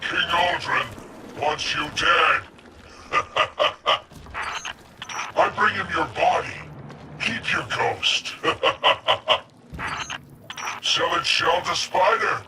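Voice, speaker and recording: An actor playing a gloating, menacing alien sniper villain in a video game, taunting in broken phrases. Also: male voice, fairly high pitch, drawn-out words, long pauses, reverberant, far from the mic, background noise. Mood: gloating menace.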